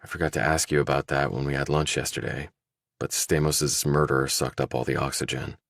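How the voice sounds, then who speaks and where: A man's voice: extremely low pitch, level tone, a little fast, creaky, fairly bright tone, dry recording, clean studio sound, full character voice. A man voicing a guarded, probing ex-soldier turned investigator, terse and questioning, in an audiobook. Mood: probing, polite. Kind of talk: audiobook character dialogue.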